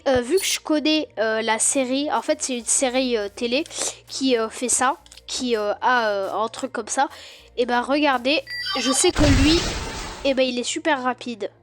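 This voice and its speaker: A woman with a stuffy cold, chatting excitedly to her viewers as she plays and comments on a game. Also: a little high, background noise. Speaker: gaming YouTuber. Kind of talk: casual gameplay commentary.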